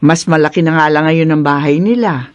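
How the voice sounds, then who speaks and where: A woman's voice: low voice, fairly quick, dry recording, lightly acted. An actress playing a caring, encouraging mother in a radio drama. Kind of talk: Filipino radio drama acting.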